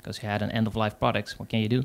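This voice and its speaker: Dutch-accented male conference speaker, rough-edged voice, halting delivery. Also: on the low side, flat delivery. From Netherlands. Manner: hesitant, many fillers, pauses. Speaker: conference speaker, agile coach. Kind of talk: conference talk.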